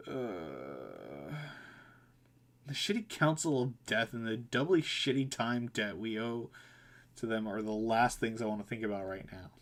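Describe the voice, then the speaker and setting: A man's voice: mid-range voice, natural voice. A man voicing a stressed, snappy young woman who runs a cafe, irritable and blunt, in a visual novel. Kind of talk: visual novel dialogue read aloud.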